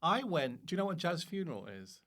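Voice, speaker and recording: A man's voice: on the high side, very animated, bright, forceful tone. A man chatting as a comedian guest on a podcast, telling anecdotes in a gushing, campy manner and acting out what people said. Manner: campy, gushing, quick to act out quotes. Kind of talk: animated anecdotal podcast chat.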